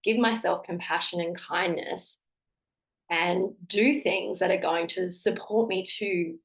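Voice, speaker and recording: Croaky congested woman, 30s, chatting on her solo podcast, lowish voice, lots of ums. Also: steady tone, slow pace, low-quality recording. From Australia. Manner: warm encouraging tone with filler-heavy restarts. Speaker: podcast host.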